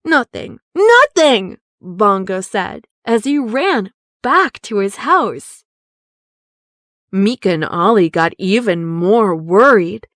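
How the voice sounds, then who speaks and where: A woman narrating a children's story aloud in an expressive, deliberate storytelling manner. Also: mid-range voice, no room sound, clean studio sound.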